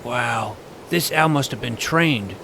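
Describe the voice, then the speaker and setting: A man's voice: mid-range voice, slow, gravelly, pushed and bright, slight background noise. A man narrating a comic fantasy adventure in the first person as its self-important young hero.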